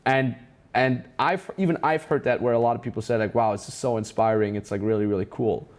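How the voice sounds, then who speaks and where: A man's voice: mid-range voice, fairly even intonation, a little fast. A man with a German accent hosting a casual interview as a business mentor, enthusiastic and full of praise. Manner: enthusiastic, praising, many restarts.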